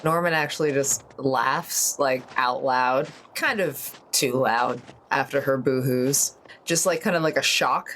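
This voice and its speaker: A woman's voice: a bass voice, unhurried, fairly bright tone, slight background noise. A woman playing a tabletop roleplaying game, chatting casually as she talks through her character's moves. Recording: music behind the voice.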